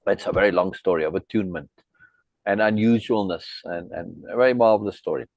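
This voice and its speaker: A man's voice: deep voice, a little fast, a little mellow, no room sound, highs cut off. A middle-aged man from South Africa, hosting a video call and chatting in a friendly, rambling way, full of asides and restarts. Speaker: host. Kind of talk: casual chat on a video meeting.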